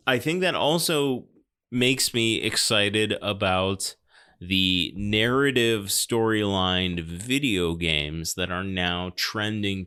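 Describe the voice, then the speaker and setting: A man talks casually as a podcast commentator. His voice sits on the low side and sounds very clean and resonant. He develops his points thoughtfully, pausing and circling back as he goes. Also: sharp tone, smooth. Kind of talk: casual film discussion.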